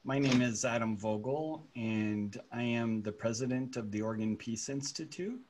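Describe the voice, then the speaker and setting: A man's voice: mid-range voice, normal speed, a little mellow, a little hiss or hum. A man heading a peace organization, introducing himself politely and a little hesitantly over a video call. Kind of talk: webinar introduction.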